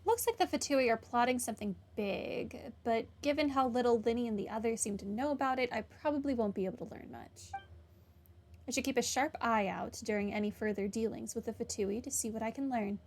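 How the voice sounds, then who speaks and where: A woman's voice: a little high, brisk, darkish tone, slight background noise. A woman playing through a story game, reading dialogue aloud and commenting casually. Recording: game music behind voice.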